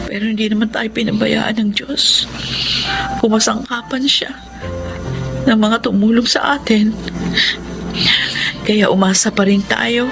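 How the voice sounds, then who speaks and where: An actress playing a humble, devoted, long-suffering young wife with a Filipino accent, earnest and imploring in a radio melodrama. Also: female voice, mid-range voice, vocal fry. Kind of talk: melodramatic radio drama acting.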